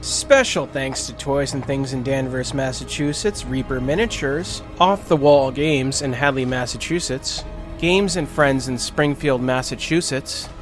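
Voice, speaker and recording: A man's voice: medium pitch, a little slow. A man reading a scripted list of thanks and credits. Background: music.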